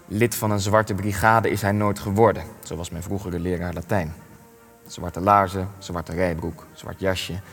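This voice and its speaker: Wry old war veteran, echoing hall. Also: male voice, low-pitched. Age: young adult. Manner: formal, dryly ironic, hesitant. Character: old male resistance veteran, wry, evasive, world-weary. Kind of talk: Dutch-language radio play acting. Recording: long echoing hall, music underneath.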